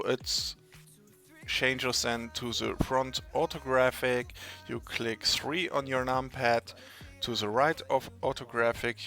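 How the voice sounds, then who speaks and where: Slow, halting German-accented man. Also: medium pitch, a bit bright. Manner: slow, halting, searches for English words. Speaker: tutorial maker. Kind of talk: screen-recorded software tutorial.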